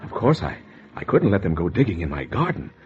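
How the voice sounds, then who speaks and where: 1940s radio noir: secretive American husband, stammering and guilty, swinging pitch, dull vintage sound. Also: male voice, sing-song, fairly quick, slightly rough, soft background music, exaggerated voice. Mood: confiding, scheming. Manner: nervous, hesitant, stammering. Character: anxious guilty husband, secretive murderer, narrating his crime.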